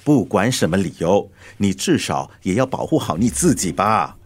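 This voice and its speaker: A man's voice: sits low, big pitch swings, moderate pace, breathy edge, exaggerated voice. An older man with a Singaporean accent acting in a radio drama as an anxious, loyal family chauffeur and fussy father who stammers when flustered. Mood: fretful, concerned.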